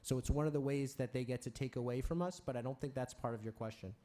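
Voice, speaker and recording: A man answering as a city councillor at a council meeting, measured and pausing with fillers between clauses. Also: mid-range voice, dark tone.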